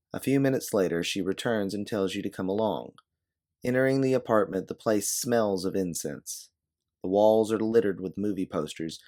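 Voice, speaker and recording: A man's voice: low voice, a little mellow. A man reading a scripted second-person story in a dramatic narrating style. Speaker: narrator of an original audio story.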